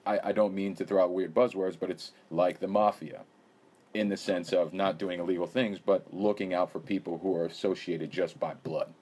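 Man, very low voice, podcast host, loose rambling talk, casual interview. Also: steady tone, normal speed, darkish tone, husky. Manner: rambling, hedging with fillers and frequent laughs.

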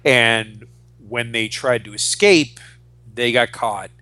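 Bright-voiced, emphatic man in his forties. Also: mid-range voice. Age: 40s. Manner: emphatic, halting with repeated phrases. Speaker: podcast co-host. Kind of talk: casual opinionated pop-culture chat.

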